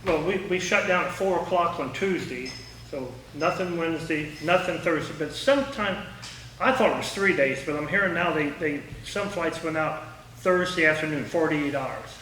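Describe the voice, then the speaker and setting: A man's voice: on the high side, normal speed, a bit bright. A man giving an informal public talk in a folksy, anecdotal way and answering questions. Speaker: guest speaker, former air traffic controller. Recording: echoey room, distant mic, faint noise.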